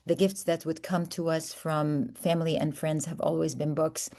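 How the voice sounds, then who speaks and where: A woman in her fifties who grew up in Saudi Arabia, introducing herself as an author on a book panel and telling her story in an earnest, steady way. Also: low-pitched, moderate pace. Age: 50s. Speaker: contributing author.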